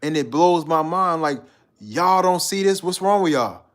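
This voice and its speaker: A man's voice: a little high, expressive, measured pace. A man from Guyana hosting a live stream, chatting loosely with his viewers in a slangy, rambling way and reading out their comments. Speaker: livestream host.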